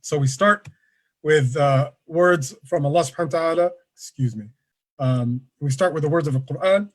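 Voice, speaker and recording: A man presenting an online workshop over a video call, relaxed and conversational, with many fillers. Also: fairly high pitch, normal speed. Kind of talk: casual online lecture.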